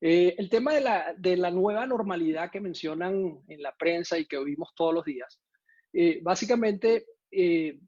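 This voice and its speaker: A man from Venezuela speaks Spanish with a Venezuelan accent touched by Mexican usage, giving a conference talk. His voice sits a bit high, and he often pauses on fillers and restarts his phrases. The sound is dry and somewhat muffled, like a video call. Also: lively intonation, a little mellow, no echo. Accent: Venezuelan Spanish with some Mexican usage. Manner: hesitant, many fillers and restarts.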